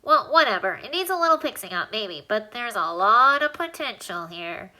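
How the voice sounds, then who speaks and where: A high, squeaky little alien man rattles on in a brash, wisecracking patter, as a woman reading an audiobook puts him on. His voice is bright and sharp, leaping all over. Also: sing-song, rough recording. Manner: fast-talking, wisecracking. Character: squeaky tiny male alien, chatty and brash.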